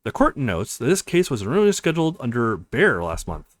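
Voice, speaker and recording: A man's voice: medium pitch, wildly swooping pitch, fairly quick, dry recording. A man playing a stern, formal court official in a visual novel, announcing in a ceremonious manner.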